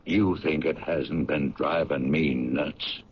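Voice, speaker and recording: Low-voiced, blunt businessman, muffled old radio. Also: fairly even intonation, takes it slowly. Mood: frustrated, explaining. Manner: blunt, colloquial, exasperated. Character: exasperated paint company businessman, blunt, practical man. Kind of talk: old radio drama acting. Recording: muffled, noisy 1970s radio recording.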